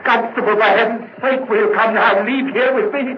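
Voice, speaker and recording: An actor playing a guilt-ridden, nervous murderer in an old-time radio mystery, halting and melodramatic. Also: male voice, very high-pitched, steady tone. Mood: frantic, pleading. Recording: muffled, noisy vintage radio recording.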